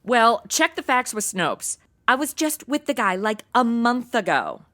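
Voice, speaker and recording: A woman's voice: on the high side, very animated, a little slow, a bit bright. A woman playing a brash, jealous, catty young American woman in a comedy audio drama, sassy and slangy. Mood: defensive, sarcastic. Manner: sassy, sarcastic, modern slangy talk.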